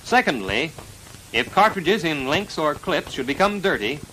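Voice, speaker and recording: An American man narrating a 1940s military instructional film in a formal, precise, measured delivery, on a vintage film soundtrack. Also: fairly high pitch, very animated, sharp tone, a little hiss or hum, low-quality recording. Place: voice-over recorded for a film.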